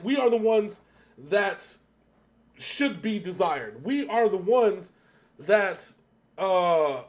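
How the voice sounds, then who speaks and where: A man's voice: high voice, darkish tone. A man co-hosting a reaction show, talking casually in a rambling, emphatic way with repetitions and free swearing. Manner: rambling, emphatic, repeats himself, swears freely. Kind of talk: casual music video reaction commentary.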